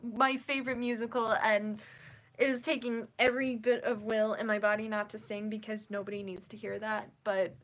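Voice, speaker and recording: A woman's voice: fairly high pitch, level tone, normal speed, a bit bright, low-quality recording. A young woman with a Minnesotan accent, hosting a casual writing livestream and chatting with her viewers in a bubbly, giggly, rambling way. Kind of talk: casual chat reading viewer comments.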